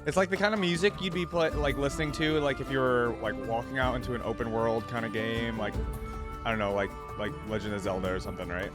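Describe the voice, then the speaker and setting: Male reaction host, mid-pitched, informal and enthusiastic, with music clearly audible underneath. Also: fairly even intonation, quick pace. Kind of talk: casual reaction commentary.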